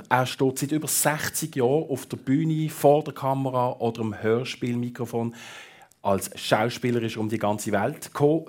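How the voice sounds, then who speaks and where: A man's voice: medium pitch, a little mellow. A man with a Swiss German accent hosting a conversational radio talk show in a studio. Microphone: close studio microphone.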